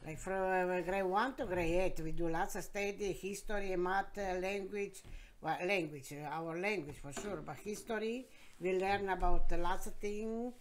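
A woman, being interviewed by her grandkids and telling her story in plain, halting, broken English. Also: mid-range voice, slight background noise. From Croatia. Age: 70s. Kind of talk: casual interview.

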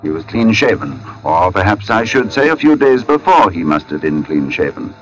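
An actor playing a brooding, haunted middle-aged artist in an old-time radio suspense drama, narrating in formal, deliberate phrases with dramatic pauses. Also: male voice, sits low, very animated, rough recording. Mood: grave, wondering. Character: brooding forty-year-old male artist, haunted, precise, foreboding. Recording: vintage radio broadcast recording.

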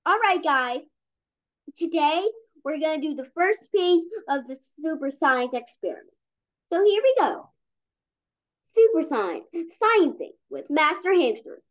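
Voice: high-pitched, expressive, a little slow. A young-sounding host reading a children's science comic aloud, stumbling over and repeating words. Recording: dull lo-fi recording.

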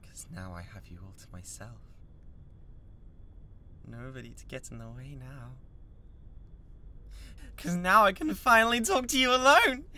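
A man playing an obsessive, animated British yandere classmate. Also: fairly high pitch. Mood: soft, creepy, then infatuated. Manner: halting, breathy laughs, swinging between shy murmurs and manic outbursts. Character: obsessive young male yandere classmate, awkward, lovesick, menacing. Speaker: voice actor. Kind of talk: dramatic ASMR roleplay monologue.

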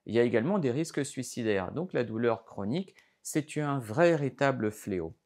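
A man's voice: mid-range voice, lively intonation, normal speed, darkish tone. A middle-aged man, a doctor, explains a health topic to camera in a studio, gesturing as he talks, with frequent fillers and restarts. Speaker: doctor giving a health lesson. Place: studio before a green backdrop.

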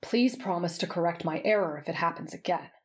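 A woman voicing an earnest, polite, easily flustered young man with a measured, formal manner in a narrated story. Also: on the low side, a little mellow, lightly acted.

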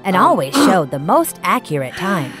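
Animated woman narrating a children's story. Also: lowish voice, normal speed. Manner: expressive, clearly enunciated storytelling. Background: background music.

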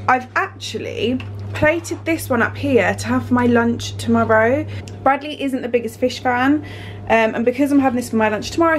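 A woman's voice: on the high side, a little hiss or hum. A young woman, chatting upbeat to a phone camera at home, full of "like" and "um". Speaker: lifestyle vlogger. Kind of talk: casual vlog chat to camera. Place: bright home kitchen and bedroom, indoors. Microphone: phone held at arm's length.

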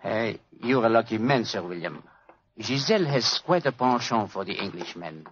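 An actor playing a gossipy, deferential French police inspector in 1940s radio style, with a French accent and a courteous, hesitant delivery. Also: male voice, lowish voice, lively intonation, moderate pace, a bit bright. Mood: sly, genial. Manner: hesitant, courteous, savouring words. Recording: muffled, faintly noisy old radio recording.

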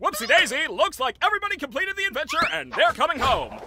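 A man's voice: extremely high pitch, sing-song, quick pace, noisy background. A man voicing a manic, theatrical cartoon ringmaster made of dentures, with a bombastic, overenthusiastic showman's manner. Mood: manic, upbeat. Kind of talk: animated cartoon voice acting.

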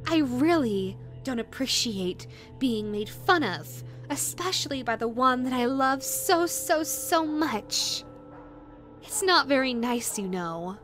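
A woman playing an obsessive, possessive yandere in a rabbit costume in an audio roleplay, cooing in a drawn-out way that swings from syrupy to threatening. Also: high voice, expressive, a bit bright, faint music behind, full character voice. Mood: wounded, angry, then doting. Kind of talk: dramatic audio roleplay addressed to the listener.

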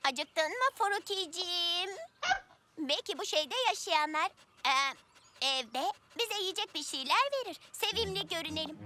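A woman's voice: extremely high pitch, lively intonation, unhurried, bright, forceful tone, slight background noise, full character voice. A woman voicing a vain, pampered young princess in a children's cartoon, pompous and theatrical. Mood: airy, pleased. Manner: pompous, theatrical, self-admiring. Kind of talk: animated children's cartoon acting. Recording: music behind the voice.

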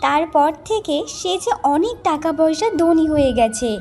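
A woman's voice: sits high, lively intonation, moderate pace, a little mellow, slight background noise. A woman voicing an envious, greedy village wife in a fairy-tale cartoon, with a complaining, questioning manner. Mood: curious. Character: envious, greedy younger village wife, curt and self-serving.

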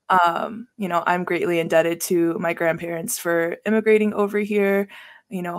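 Guest, 30s woman, easygoing chat with fillers, home podcast mic on a video call. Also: medium pitch. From Hawaii. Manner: chatty, laughs often, many fillers. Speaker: guest, educator and podcast host. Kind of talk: casual video-call interview. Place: small room at home, indoors. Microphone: podcast mic with headset on video call.

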